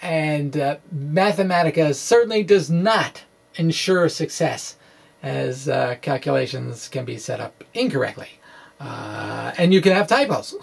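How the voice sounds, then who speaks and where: Creaky-voiced man, halting science explainer. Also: fairly high pitch. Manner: halting, rambling delivery with wry, quirky asides. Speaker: physics video blogger. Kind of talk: informal science explainer, reading his blog aloud with asides. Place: small indoor home study with bookshelves. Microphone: microphone on a stand in front of him.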